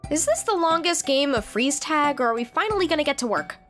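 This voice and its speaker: A woman voicing a determined, take-charge teenage anime girl with a direct, expressive manner. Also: high-pitched, fast. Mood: impatient. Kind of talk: animated visual novel acting. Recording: music behind the voice.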